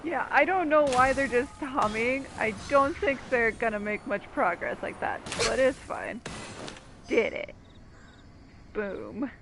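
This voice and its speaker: A woman's voice: fairly high pitch, takes it slowly, noisy background. A woman giving casual, teasing, wry commentary while playing a video game. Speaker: gamer commentator. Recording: game music faintly behind.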